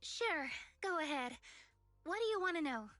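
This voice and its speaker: Bright, high-voiced cheerful young woman. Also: lively intonation, moderate pace, dry recording, faint music behind. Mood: friendly, casual. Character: cheerful, earnest young woman, dreamy and ambitious explorer. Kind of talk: anime game voice acting.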